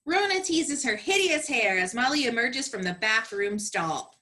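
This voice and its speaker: A woman's voice: fairly high pitch, lively intonation, moderate pace, bright, forceful tone. A woman reading scripted comedy narration in a dramatic, tongue-in-cheek way. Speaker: comedy troupe performer, narrator.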